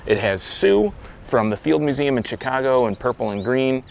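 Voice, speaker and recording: A man's voice: medium pitch, sing-song, normal speed, gravelly, a little mellow. A man casually reviewing a beer outdoors, rambling and correcting himself as he talks. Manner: rambling, self-correcting, loose. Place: outdoors, windy. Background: wind noise.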